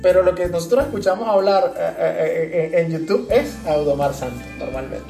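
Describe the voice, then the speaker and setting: A woman's voice: fairly low pitch, fairly quick, warm tone, roomy sound, faint music behind. A woman with a Venezuelan accent chatting casually as a podcast co-host, explaining things through examples.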